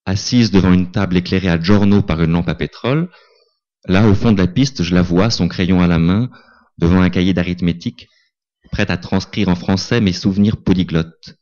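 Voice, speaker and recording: Deep-voiced male reader, reflective and deliberate, dull event recording. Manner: calm, deliberate reflective reading. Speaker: reader at a literary tribute. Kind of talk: first-person literary reading. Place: small cinema salon.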